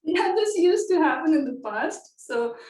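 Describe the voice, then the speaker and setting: A woman's voice: high-pitched, animated, unhurried, a little mellow. A woman researcher answering interview questions casually, chatty and thinking aloud with frequent laughs. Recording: echoey room, distant from the mic.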